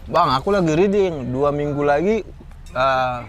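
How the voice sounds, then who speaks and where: Laid-back man telling a story, slightly high. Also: unhurried. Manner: relaxed storytelling, laughs often. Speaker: comedy screenwriter. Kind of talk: casual podcast chat.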